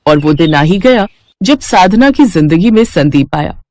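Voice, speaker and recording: A woman's voice: fairly low pitch, lively intonation, unhurried, darkish tone, a little hiss or hum. A woman narrating a scripted moral bedtime story.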